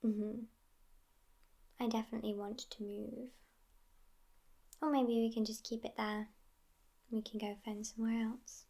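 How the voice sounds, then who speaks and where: A woman plays an immortal vampire girlfriend in an ASMR roleplay, sassy yet affectionate. Her fairly high voice stays hushed, often slipping into a whisper. She speaks in a languid, even line with a gravelly creak at the edges. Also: measured pace, long pauses. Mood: wry, relaxed.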